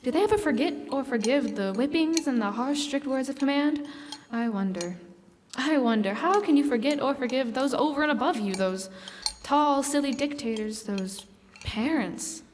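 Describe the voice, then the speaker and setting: A woman's voice: high voice, expressive, a little mellow, a little hiss or hum. A young woman acting an anxious, hesitant suburban mother in a live stage radio drama. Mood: brooding. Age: young adult. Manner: hesitant, halting. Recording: echoey stage hall.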